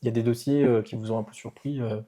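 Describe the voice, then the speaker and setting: A man interviewing a local candidate, asking halting questions full of fillers. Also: mid-range voice, steady tone, warm tone.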